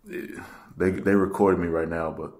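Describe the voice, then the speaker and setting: A young man with a fairly low voice plays prank caller at a table in a small room. He talks in slang, repeats himself and keeps pushing. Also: little pitch movement, measured pace, a little mellow. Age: young adult. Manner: pushy, repetitive, swearing. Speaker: prank caller, video host. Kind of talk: prank phone call, slangy. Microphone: camera across the table.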